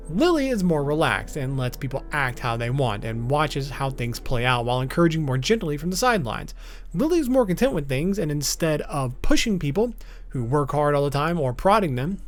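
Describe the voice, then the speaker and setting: High-pitched, animated man explaining casually. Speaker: video host. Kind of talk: informal explainer commentary on a visual novel. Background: background music.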